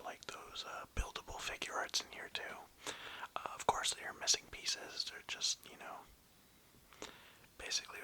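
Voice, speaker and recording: Hushed, breathy ASMR whisperer. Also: rough recording. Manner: rambling, casual, hesitant. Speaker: video host presenting a toy collection.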